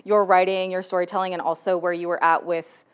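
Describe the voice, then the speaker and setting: A woman hosting a conversational interview with a clear, smooth voice. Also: on the low side, little pitch movement, a little fast. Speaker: host, community manager interviewing creators.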